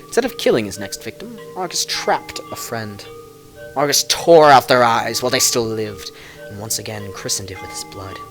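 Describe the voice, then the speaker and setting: A man reading a dark tale as a dramatic narrator, with theatrical, deliberate emphasis and music behind him. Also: fairly low pitch, animated, low-quality recording. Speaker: narrator reading lore books.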